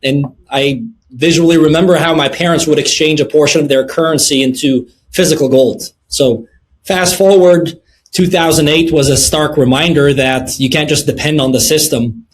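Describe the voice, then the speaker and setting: Middle-aged guy from lebanon, slightly high voice, talks steady with lots of uhs. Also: rough recording. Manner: measured, many "uh" fillers. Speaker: guest, precious metals dealer. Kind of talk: interview answers.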